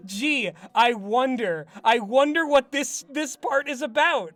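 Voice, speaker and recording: A man's voice: very high-pitched, expressive, takes it slowly, fairly bright tone, dry recording. A man in his twenties reading from his old script and giving comedic commentary on it in a wry, self-mocking way. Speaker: writer and host.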